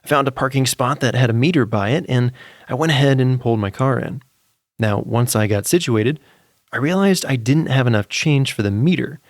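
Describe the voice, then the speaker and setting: A man's voice: medium pitch, a little fast, no echo, studio quality. A man narrating a scripted story in a casual, anecdotal, conversational way. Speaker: narrator and storyteller of a fiction podcast. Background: music under the voice.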